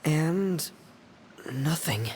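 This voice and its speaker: A man voicing a quiet, reserved, earnest teenage boy in a video game, soft and halting in his delivery. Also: on the high side, animated, slight background noise. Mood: downcast.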